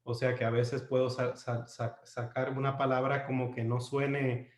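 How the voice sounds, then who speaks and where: A man's voice: mid-range voice, little pitch movement. Man teaching scripture in an echoey kitchen. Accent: Dominican Spanish with US English influence. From Dominican, raised in the United States. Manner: unhurried, hesitant, with frequent "eh" fillers and restarts; ends points with a questioning "¿no?". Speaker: Bible study teacher. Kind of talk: live-streamed Bible study. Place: at home near the kitchen. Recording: roomy home kitchen echo.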